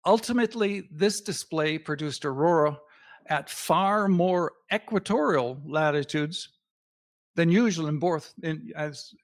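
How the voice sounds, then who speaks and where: Higher-voiced man presenting astronomy slides. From Canada. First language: not English. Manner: measured, emphatic lecturing with pauses.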